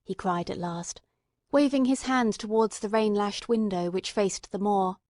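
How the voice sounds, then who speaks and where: A woman narrating a dramatized mystery story in a measured, literary storytelling manner. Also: medium pitch, a little mellow, no room sound, studio quality. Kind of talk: dramatized audiobook narration, reading dialogue in character.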